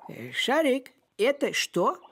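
Grumpy talking cat for animation: high-pitched, wildly inflected, practical and scolding. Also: male voice, wildly swooping pitch, takes it slowly, background noise, full character voice. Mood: exasperated, scolding. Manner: exasperated, sardonic. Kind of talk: animated cartoon acting.

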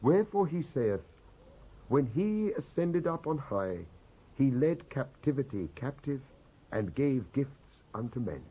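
British actor reading scripture with sweeping intonation. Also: male voice, medium pitch, big pitch swings, husky. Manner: solemn, declamatory, measured phrasing with pauses. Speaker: Bible reader, actor. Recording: dull lo-fi cassette transfer.

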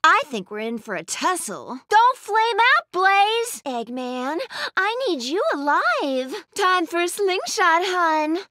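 Casting: a cocky, coquettish female bat thief in a racing game; very animated intonation, unhurried sassy teasing. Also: very high voice, no echo, clean studio sound, exaggerated voice. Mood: flirty, confident. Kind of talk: video game character voice lines.

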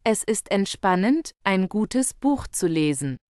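A woman slowly reading German example sentences for learners, carefully enunciated and repeated. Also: mid-range voice, sing-song, a little mellow, dry recording, studio quality. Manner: carefully enunciated, each phrase read twice. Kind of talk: slow, clear model sentences for learners.

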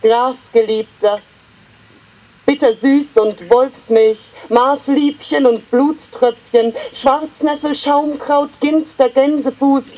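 Woman, high-pitched voice, slow delivery, literary poetry reading, deliberate phrasing, pauses between lines. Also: steady tone, darkish tone, low-quality recording. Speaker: poetry reader.

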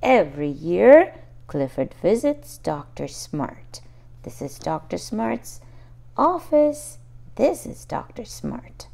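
Slow, expressive woman reading to young children. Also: mid-range voice, vocal fry. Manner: expressive, addresses child listeners directly. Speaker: children's storybook reader and host. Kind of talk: read-aloud picture book narration for young children.

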